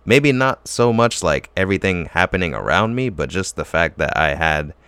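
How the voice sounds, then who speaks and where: Young male co-host, very deep and resonant, loose filler-heavy storyteller, dry mic. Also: animated, moderate pace, a bit bright, smooth, no room sound. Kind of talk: casual chat.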